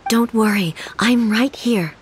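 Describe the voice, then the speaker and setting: A woman playing a composed, solemn warrior deity and ruler in a video game, speaking in a formal, measured, authoritative way. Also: on the high side, a little hiss or hum. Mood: soothing, steady. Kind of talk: video game character acting.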